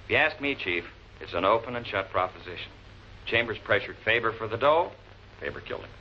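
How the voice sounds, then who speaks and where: Man acting as confident cop, fairly low voice, clipped, matter-of-fact, 1940s TV detective drama, dull old recording. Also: animated, fairly quick, natural voice. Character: blunt, confident male police lieutenant. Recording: muffled, noisy old film soundtrack.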